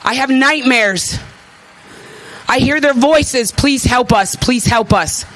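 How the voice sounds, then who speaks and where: A woman's voice: high-pitched, slow pace, fairly bright tone, low-quality recording. A woman in her thirties giving an impassioned, emphatic rally speech as an activist, with repeated phrases and emotion in her delivery. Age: 30s. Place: at a rally before an audience.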